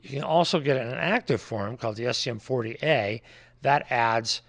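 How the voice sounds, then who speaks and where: An American man with a noticeably gravelly, creaky voice at an ordinary male pitch. He talks through products informally, explaining things in a plain-spoken way like a distributor showing his range. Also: fairly quick, a bit bright. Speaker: audio distributor presenting products.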